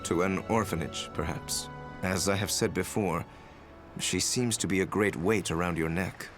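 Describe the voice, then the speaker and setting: Male actor as elderly sick lord for a game, fairly deep, courtly and deliberate, quietly calculating, loud music underneath. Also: close to an everyday voice. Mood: solemn, calculating. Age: older. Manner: genteel, formal, deliberate. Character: ailing old lord, grateful, genteel, coolly calculating. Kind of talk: video game cutscene acting.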